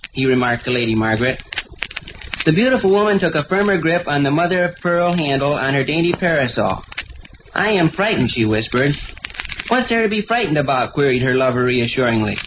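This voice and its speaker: A man's voice: a little high, natural voice. A male actor playing an earnest, guileless young American son who loves adventure stories, in the style of 1940s radio comedy. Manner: solemnly reading pulp fiction aloud, guileless. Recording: muffled old radio recording, faint noise.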